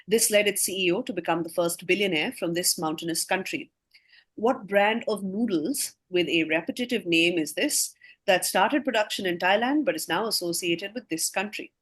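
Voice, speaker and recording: A woman's voice: on the low side, normal speed, fairly bright tone. A woman quizmaster reading scripted questions aloud, with casual asides to the players.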